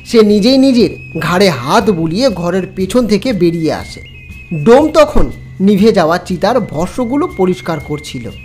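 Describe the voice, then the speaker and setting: A male storyteller delivers dramatic horror narration with lively, wide-swinging intonation. Music plays behind the scripted telling. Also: high voice.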